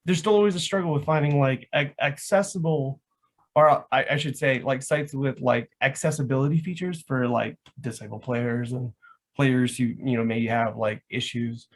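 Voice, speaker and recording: Male, mid-pitched voice, casual explanatory talk show guest, rambling, peppers speech with "like". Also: fairly even intonation, moderate pace. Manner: rambling, says "like" constantly. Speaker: guest, live action roleplay organiser.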